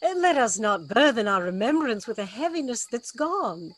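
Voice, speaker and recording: A woman playing a commanding wronged duchess and magician in a Shakespearean radio play, with stately, measured verse delivery. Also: on the high side, very animated. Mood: solemn, gracious.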